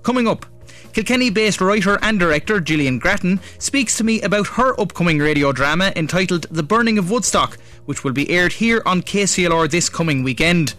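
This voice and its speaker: An Irish man presents a radio programme as its host. His voice sits a little higher than most men's, and he delivers prepared links in a presenter's style. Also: lively intonation, brisk, sharp tone, soft background music. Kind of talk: scripted radio show presenting.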